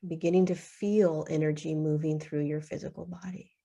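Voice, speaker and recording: A woman leading a guided meditation in a soothing, unhurried way, leaving long pauses between short phrases. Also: low-pitched, level tone, gravelly, mellow.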